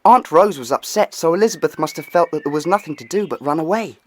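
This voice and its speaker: An earnest youth searching anxiously in a children's audio drama. He is polite and well-spoken, with a lively, expressive range. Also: on the high side, fast. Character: earnest, worried young brother of a runaway girl.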